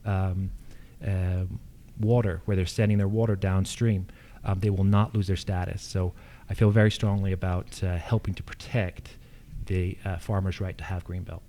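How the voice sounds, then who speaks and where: Brief: American man, low pitch, very soft mellow tone, halting explanatory delivery as a political candidate answering questions. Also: flat delivery, a little hiss or hum. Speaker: incumbent candidate for county assessor. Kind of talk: extemporaneous answers at a candidate forum.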